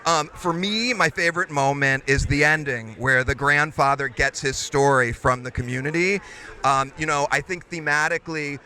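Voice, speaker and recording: Man, screenwriter, fairly high voice, bright tone, hesitant and reflective. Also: moderate pace. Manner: thoughtful, hesitant, many fillers. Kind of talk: interview answers.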